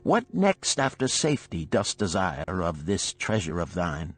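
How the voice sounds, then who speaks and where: A man voicing a wise, cautious older gold lender of ancient Babylon in a storybook, solemn and deliberate in archaic speech. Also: low-pitched, sing-song, breathy edge, soft background music, pitched below the performer's own voice, exaggerated voice. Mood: approving, cautioning. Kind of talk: storybook character reading.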